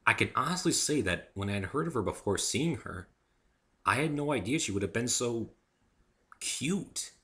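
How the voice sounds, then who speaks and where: A man's voice: mid-range voice, lively intonation, normal speed, pushed and bright. A man giving an amateur dramatic reading of a first-person story as its narrator.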